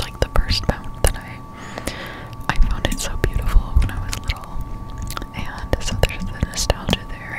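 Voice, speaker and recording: A woman whispering casual ASMR game commentary close to the microphone while chewing gum. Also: low-quality recording. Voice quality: unvoiced whisper. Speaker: streamer playing a video game. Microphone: close-up desktop studio microphone.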